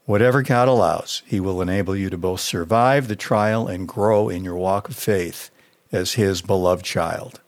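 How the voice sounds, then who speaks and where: A man with a fairly low voice and an American accent reads a scripted devotional as a pastor. His delivery is that of a prepared reflection on scripture, spoken directly to the listener.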